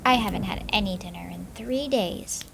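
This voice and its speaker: Woman doing a skinny wise cat, low and slow, a bit secretive. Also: vocal fry, a bit bright, a little hiss or hum, low-quality recording. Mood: confiding.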